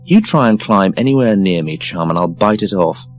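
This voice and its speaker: A man reads as a blunt, foul-mouthed woman in an audiobook. She is curt and scornful, intimidating in temper, with a low-set voice and animated intonation. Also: normal speed, no room sound, soft background music. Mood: combative.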